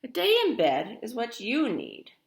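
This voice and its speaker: A woman voicing a feisty, bossy, cheerful old grandmother from a picture book, exclaiming in a brisk, commanding way. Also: fairly high pitch, huge pitch swings, vocal fry, reverberant, pitched above the performer's own voice, exaggerated voice.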